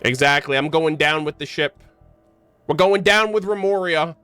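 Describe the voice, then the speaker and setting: A man's voice: fairly high pitch, normal speed, sharp tone, clear, resonant tone, soft background music. A young man streaming a game with casual, slangy commentary, joking and incredulous. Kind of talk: casual live gameplay commentary.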